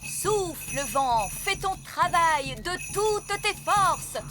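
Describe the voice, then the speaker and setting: High-pitched, haughty fairy nanny. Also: female voice, expressive, background noise, full character voice. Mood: commanding incantation. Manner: brisk, dismissive, self-assured. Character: haughty, breezy fairy nanny who does magic. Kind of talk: animated children's cartoon dub.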